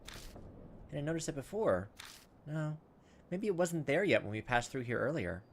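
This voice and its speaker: A man's voice: a little high, sing-song, normal speed. A man doing gameplay commentary, casually thinking aloud and reading visual novel text as he goes. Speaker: video game playthrough host.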